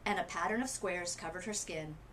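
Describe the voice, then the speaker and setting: A woman reading a children's storybook aloud as a literacy coach, with expressive emphasis and dramatic pauses. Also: fairly low pitch, slight background noise, rough recording.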